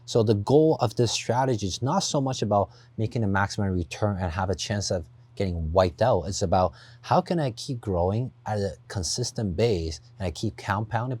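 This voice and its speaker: A man's voice: lowish voice, darkish tone, breathy edge, no echo. A man who grew up in Taiwan, a podcast guest earnestly explaining his investing approach, often adding "you know" and "right?". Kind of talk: podcast interview.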